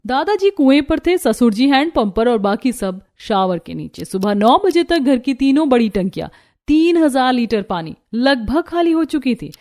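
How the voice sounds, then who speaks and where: A woman's voice: sits high, sing-song, normal speed, darkish tone. A woman narrating a scripted Hindi cartoon story as its storyteller.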